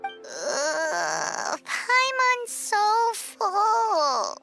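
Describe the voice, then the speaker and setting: A woman voicing a small, cute, childlike fairy companion in a video game, chirpy and squeaky. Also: huge pitch swings, very slow, creaky, pushed and bright, soft background music, full character voice. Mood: stuffed, whiny.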